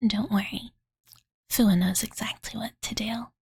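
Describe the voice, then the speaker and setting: A woman voicing a cute, devoted young dragon girl who softly tells her master a bedtime story in a cozy ASMR roleplay, with cutesy, filler-heavy speech. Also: medium pitch, fairly even intonation, a little slow, exaggerated voice. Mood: puzzled, reassuring. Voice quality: soft breathy whisper. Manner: valley-girl filler-laden, soothing, cutesy third-person speech. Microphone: close-up studio condenser microphone, dry.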